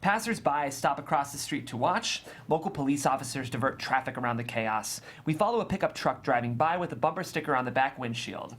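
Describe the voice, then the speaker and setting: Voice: medium pitch, expressive, fairly quick, hardly any pauses, a bit bright, a little hiss or hum. A narrator reading screenplay stage directions in a matter-of-fact, descriptive way.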